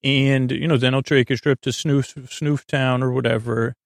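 A man with a creaky, gravelly voice hosts a bedtime podcast. He meanders through improvised digressions, stopping and restarting mid-word. The sound is close and very dry, and his pitch sits in a middle male range. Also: steady tone, moderate pace, no echo. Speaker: podcast host. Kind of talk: rambling improvised bedtime monologue.

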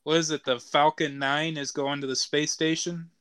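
A man's voice: on the high side, flat delivery, moderate pace, fairly bright tone, clean tone. A man co-hosting a podcast, talking casually with the others in the conversation. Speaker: podcast co-host. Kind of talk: casual chat.

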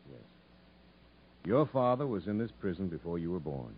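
An actor playing a grave but kindly prison captain, measured and straightforward, in a 1950s radio drama. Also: male voice, deep voice, very animated, gravelly, husky, heavily acted. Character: grave, frank prison captain recalling a story, kindly toward children. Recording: muffled 1950s radio recording.

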